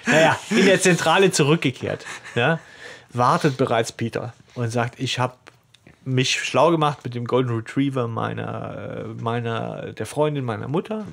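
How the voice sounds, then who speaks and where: A man's voice: mid-range voice, normal speed, vocal fry, a bit bright. A man co-hosting a podcast in casual, conversational discussion of a story. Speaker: podcast co-host. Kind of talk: casual discussion of an audio drama episode.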